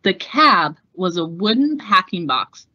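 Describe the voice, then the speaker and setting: A fearless woman aviator read by a storyteller woman recounts her own life with plucky, emphatic deliberation. Her voice is bright and firm, on a slightly dull storybook recording. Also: lowish voice, very animated, measured pace, no echo, exaggerated voice. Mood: proudly defiant.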